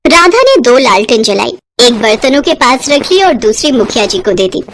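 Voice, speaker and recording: A woman's voice: on the high side, very animated, moderate pace, fairly bright tone, slight background noise. A woman narrating a scripted moral bedtime story as a storyteller.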